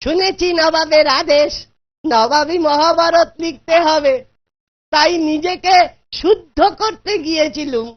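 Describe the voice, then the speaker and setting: A woman voicing a wily, confident male court jester in a cartoon, measured and slyly deferential. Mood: sly confidence. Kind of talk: Bengali cartoon voice acting. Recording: dull low-fidelity audio.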